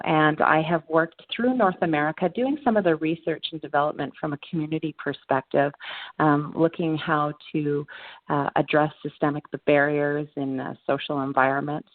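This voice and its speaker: A woman's voice: deep voice, no room sound, phone-quality sound. A woman, speaking earnestly and reflectively as a guest answering interview questions. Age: 40s.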